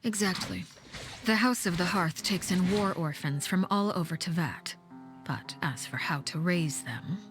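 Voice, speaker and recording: A low-voiced, menacing woman leader from a video game, played by an actress. She speaks formally and with measured command, often dropping into a breathy hush. Her temper is cold and composed, and soft music plays behind her. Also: close to an everyday voice. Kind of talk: scripted video game voice acting.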